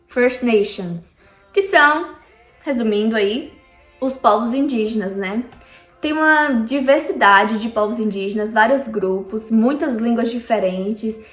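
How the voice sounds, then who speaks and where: Brazilian woman vlogger, higher voice, chatty and direct with viewers; echoey room, faint music under. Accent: Brazilian Portuguese. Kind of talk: casual explainer vlog. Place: lives in Canada.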